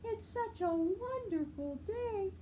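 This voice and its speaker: Woman acting a cheerful little animal, high-pitched, eager, sing-song, friendly, storybook style, background noise. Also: expressive, takes it slowly, mellow, exaggerated voice, low-quality recording. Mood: curious.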